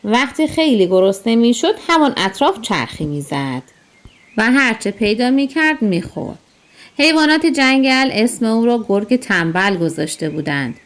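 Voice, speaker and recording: A woman storyteller with a fairly high voice narrates for children. Her delivery is scripted and expressive, each sentence set out clearly.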